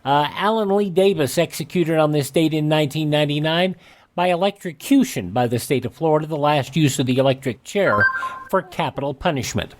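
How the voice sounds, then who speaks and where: A man's voice: a little high, lively intonation, brisk, a little hiss or hum. A man hosting a radio show, reading a scripted rundown of historical dates.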